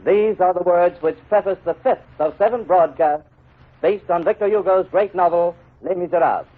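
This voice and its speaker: A man's voice: sits high, moderate pace. A man formally introducing a 1930s radio drama. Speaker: radio announcer. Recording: thin, phone-like 1930s radio.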